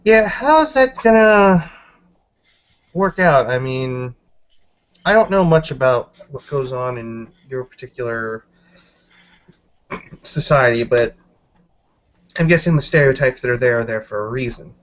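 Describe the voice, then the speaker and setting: A man's voice: medium pitch, animated, measured pace, pauses often, natural voice. Frank, skeptical werewolf woman played by a man. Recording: dull lo-fi audio.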